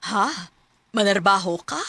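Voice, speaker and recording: A woman's voice: mid-range voice, unhurried, creaky, a bit bright, faint music behind. An actress playing a homely, blunt older mother and caring grandmother with a Cebuano accent in a radio drama. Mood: protective, scolding. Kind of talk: Filipino radio drama acting.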